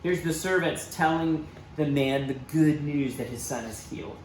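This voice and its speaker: A man's voice: on the high side, moderate pace, darkish tone. A man reading a storybook aloud to children, expressively, with dramatic emphasis and asides to his young listeners. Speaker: church children's storybook reader. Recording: roomy echo, faint background noise.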